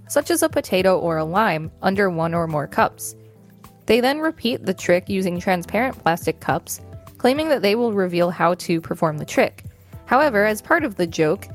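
A woman with an American accent, co-hosting a podcast, reading an article aloud with casual remarks. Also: medium pitch. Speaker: podcast co-host.

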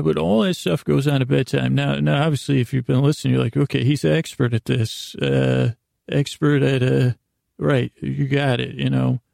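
Very creaky, mellow man rambling softly. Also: mid-range voice, no echo, clean studio sound. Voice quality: creaky, gravelly. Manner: halting, meandering, repeats and rephrases himself; self-deprecating, gently silly. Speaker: host of a bedtime sleep podcast. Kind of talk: rambling, lulling bedtime monologue.

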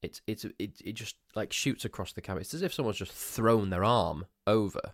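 A man's voice: on the low side, fairly quick, no echo, studio quality. A man hosting his own podcast, sounding groggy as if just woken up, telling a story in a rambling, chatty way with rhetorical questions and restarts. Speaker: podcast host. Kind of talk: casual solo podcast storytelling.

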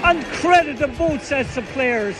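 A man's voice: very high-pitched, animated, measured pace, over a noisy crowd, poor audio. An Irish man reacting to a football match, anguished and pleading with the players.

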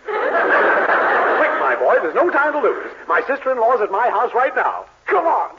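A muffled 1940s radio recording of a fussy, timid schemer. The voice is high and very husky, swooping up and down in an excitable, fluttery way. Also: male voice, moderate pace, exaggerated voice. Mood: urgent, coaxing. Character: fussy, timid, scheming older man, easily flustered. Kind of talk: 1940s radio comedy acting.

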